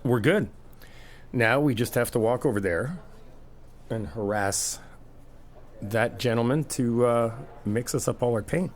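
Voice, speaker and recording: A man's voice: mid-range voice, unhurried, pauses often, slight background noise, close to an everyday voice. A man playing an easygoing, encouraging friend in a casual ASMR roleplay, chatting informally and explaining things patiently. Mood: casual, nudging. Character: easygoing, encouraging male friend helping paint an apartment.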